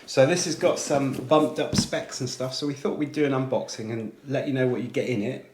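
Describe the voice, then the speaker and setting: A man's voice: mid-range voice, fairly even intonation, a little fast. A man presenting a casual product unboxing, chatting informally with British slang. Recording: echoey room, faint background noise.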